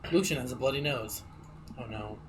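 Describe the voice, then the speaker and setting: A man's voice: mid-range voice, normal speed, noisy background. A stuffy-nosed man streaming a story game, reading it aloud and chatting with a laugh.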